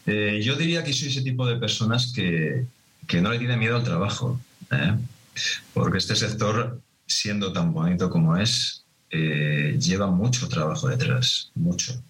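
A man's voice: deep voice, animated, measured pace, a bit bright, poor audio. A middle-aged man from Madrid with a Madrid Spanish accent, a winemaker answering interview questions in a reflective, hesitant way with many restarts. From Madrid, Spain.

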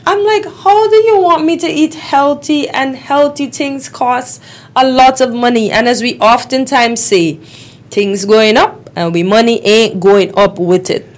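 A woman hosting a call-in radio show, asking quick rhetorical questions while losing her voice. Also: a little high. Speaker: radio talk show host.

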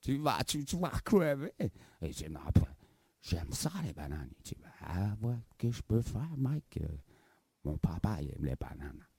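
A man playing a boastful, goofy male traveler with a gravelly voice. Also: on the low side, very animated, moderate pace, darkish tone, no echo, studio quality, exaggerated voice. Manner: keeps addressing the host by name, tacks on filler tags; giggly, playful bragging. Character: boastful silly African man visiting Canada. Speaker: comedy interview guest. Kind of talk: improvised comedy sketch.

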